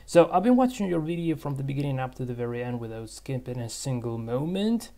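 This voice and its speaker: A man whose first language is Russian, teaching English by thinking aloud in a halting way, with many fillers and restarts. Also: mid-range voice, animated, mellow. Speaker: English tutor. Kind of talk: screen-recorded language lesson.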